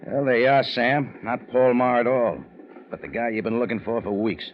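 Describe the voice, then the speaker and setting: A man's voice: medium pitch, steady tone, normal speed, slight background noise, natural voice. An American man playing a tough, wry adventurer in an old radio detective drama, telling his case in clipped slang. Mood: confident, wry. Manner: clipped hardboiled slangy delivery. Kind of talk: 1940s-50s radio detective acting with first-person narration. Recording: dull old radio recording with music.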